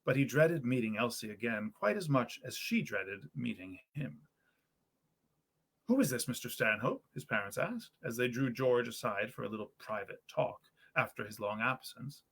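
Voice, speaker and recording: A man reading a 19th-century tale aloud in an expressive, measured storyteller's manner with old-fashioned diction. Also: mid-range voice, vocal fry. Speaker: story reader, actor.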